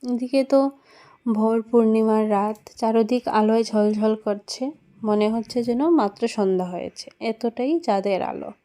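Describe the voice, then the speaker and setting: A woman with a soft-edged, mellow tone and a slightly high pitch. She narrates a children's cartoon tale in a storytelling style. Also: unhurried.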